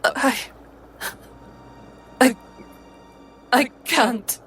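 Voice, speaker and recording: A woman's voice: high-pitched, very slow, a bit bright, faint music behind. A woman playing a tabletop game, reacting in conversational table talk.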